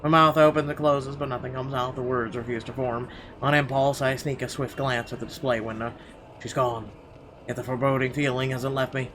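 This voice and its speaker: A man's voice: mid-range voice, level tone, a little fast, a bit bright, slight background noise. A man reading horror visual novel narration aloud in a theatrical, suspenseful way with emphatic phrasing. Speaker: gaming video host. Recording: music behind the voice. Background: game music.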